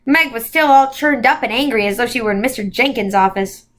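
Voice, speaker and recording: Higher-voiced woman reading a children's story. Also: a little fast. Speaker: volunteer storybook reader. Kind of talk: expressive scripted narration of a children's novel.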